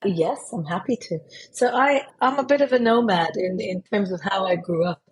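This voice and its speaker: Woman guest, bit husky, thinks out loud, repeats words a lot. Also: medium pitch, normal speed, low-quality recording. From born in Israel, raised in Switzerland. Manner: thoughtful, with frequent repeated words. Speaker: podcast guest. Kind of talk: casual interview.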